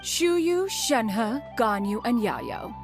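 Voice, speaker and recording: A haughty ancient immortal inventor. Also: female voice, a little high, big pitch swings, extremely slow, full character voice. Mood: proud. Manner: archaic and formal, calls herself "one", haughty. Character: aloof ancient female immortal inventor, proud and formal. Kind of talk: game dialogue. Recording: soft game music behind.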